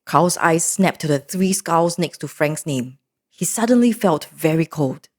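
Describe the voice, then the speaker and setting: Brief: woman narrator, mid pitch, lively and expressive. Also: a little slow. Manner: expressive, animated storytelling. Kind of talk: storybook recap narration.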